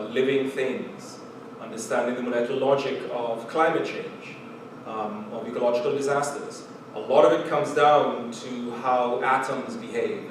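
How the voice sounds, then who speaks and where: A middle-aged man with a Trinidadian accent, giving a recorded chemistry lecture in a measured, earnest way, often pausing with "uh" and "um" and repeating words. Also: mid-range voice. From Trinidad and Tobago. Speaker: chemistry professor. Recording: very echoey room from far off, background noise.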